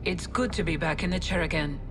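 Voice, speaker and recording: A woman's voice: on the low side, fairly quick, gravelly. An actress playing a loyal, frank military aide who briefs her general familiarly in a video game. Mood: pleased, familiar. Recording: loud music behind the voice.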